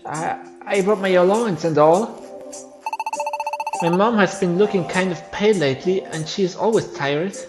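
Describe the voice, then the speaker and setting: A man who is not a native English speaker voicing a timid, earnest, worried child in a game, soft-spoken and hesitant. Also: high voice, moderate pace, lightly acted. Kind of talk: amateur voiced game storyline. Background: loud game music.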